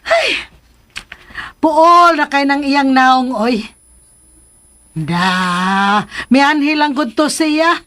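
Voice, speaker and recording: A woman's voice: high-pitched, expressive, extremely slow, pauses often, a bit bright, exaggerated voice. A woman with a Cebuano accent playing a teasing, sulky, flirtatious woman in a radio drama. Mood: sulky, scornful.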